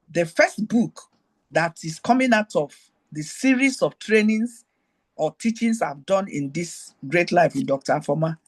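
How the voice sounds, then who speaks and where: A woman with a Nigerian accent hosting her show, giving a teaching talk mixed with personal stories and repeating her main points to listeners on a group call. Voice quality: high-pitched. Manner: digressive, repeats key phrases, talks straight to listeners. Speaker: host.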